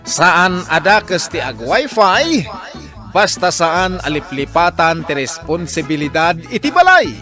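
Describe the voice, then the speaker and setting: A man's voice: high voice, sharp tone. A man announcing a radio drama programme in a declamatory, scripted style.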